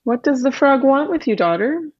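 A woman's voice: high-pitched, expressive, normal speed, voice pushed up. A woman voicing a kindly but firm old king questioning his daughter. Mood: gentle. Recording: dull lo-fi recording.

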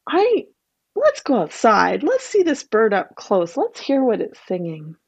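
A woman's voice: fairly high pitch, huge pitch swings, a little slow, husky, full character voice. A woman voicing an enchanted, dreamy father who talks in breathless wonder.

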